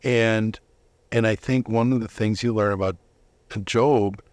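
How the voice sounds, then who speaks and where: A man's voice: fairly low pitch, steady tone, unhurried, a little mellow, no room sound. A man giving earnest, explanatory answers as a guest in a conversational interview. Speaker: interview guest, volunteer Bible reader.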